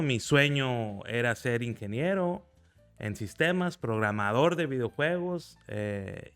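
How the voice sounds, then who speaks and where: Guy, early 40s, smooth clear voice, faint music behind. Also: medium pitch, a bit bright. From Mexico. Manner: earnest, recounts with pride. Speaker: guest, federal deputy. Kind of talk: conversational interview.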